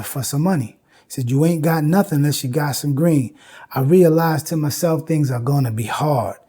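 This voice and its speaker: A man lectures informally in a mellow, husky voice that sits a little high. He is emphatic, repeating phrases to drive points home and reciting lines as he goes. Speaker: host and lecturer on music history.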